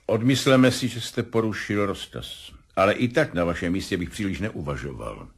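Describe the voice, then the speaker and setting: A domineering military colonel, husky and sardonic. His pitch swings widely as he gives formal, pointed orders and questions, in the manner of seventies radio drama. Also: full character voice. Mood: coldly threatening. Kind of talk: 1970s radio drama acting.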